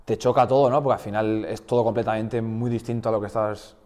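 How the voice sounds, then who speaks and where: A man's voice: lowish voice, fast talker, big-room sound. A man in his twenties, raised in the Basque Country, talking casually as an interview guest with many restarts, speaking Spanish with a slight Basque accent. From Portugal, raised in the Basque Country. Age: 20s. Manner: relaxed, frequent restarts and fillers. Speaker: guest footballer.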